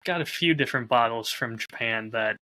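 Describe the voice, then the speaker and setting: A man's voice: mid-range voice, brisk, pushed and bright, no echo, poor audio. A man talking casually as a host, showing off a bottle while recording on a close lav mic. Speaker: podcast host and whiskey audio drama creator.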